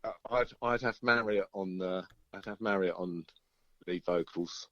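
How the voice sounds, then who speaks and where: Middle-aged male musician, interview guest. Low voice, hesitant rambling chat, muffled close dry recording. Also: unhurried, a bit bright, no echo. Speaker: interviewed musician, band member. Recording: dull, lo-fi band-limited line.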